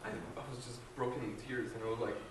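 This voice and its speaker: A man's voice: medium pitch, fairly even intonation, fast, a little hiss or hum. A young man from Guatemala sharing a personal faith testimony as a student, earnest and hesitant, with many fillers and restarts. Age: young adult. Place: large room with an audience. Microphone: no mic, distant recorder. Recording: echoey room from far off.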